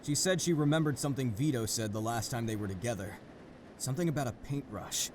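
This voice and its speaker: A man's voice: medium pitch, fast talker, lightly acted. A man playing an impatient police detective in an audio drama, talking conversationally and questioningly. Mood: matter-of-fact. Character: impatient male police detective reporting in from the road. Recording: faint vehicle and ambient noise.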